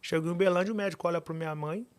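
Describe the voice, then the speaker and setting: Fast-talking man from Minas Gerais. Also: high-pitched, clear, resonant tone, no echo. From Minas Gerais, Brazil. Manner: fast, animated storytelling with emphatic repetitions. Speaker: guest. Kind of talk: podcast interview storytelling.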